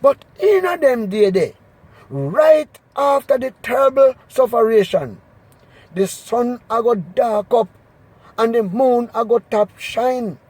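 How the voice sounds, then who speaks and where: A man with a Jamaican accent doing an expressive, emphatic scripture reading in Patois. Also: very high-pitched, slow, husky, no room sound, rough recording. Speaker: Bible reader.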